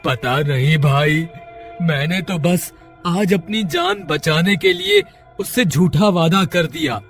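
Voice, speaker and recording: Man acting a high-voiced panicky villager, music behind. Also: sing-song, slow, heavily acted. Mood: worried. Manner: frantic, whiny pleading. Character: panicky, pleading unmarried village man fleeing a ghost. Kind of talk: Hindi horror cartoon character voice.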